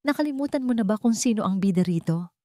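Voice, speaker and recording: A woman's voice: mid-range voice, animated, quick pace, mellow, no room sound, pitched above the performer's own voice, close to an everyday voice. A woman narrator acts out a domineering wealthy man in a dramatic storybook. He is cold and controlling, and gives orders with menace. The sound is dry. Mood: angry, menacing. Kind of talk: dramatic audio storybook dialogue.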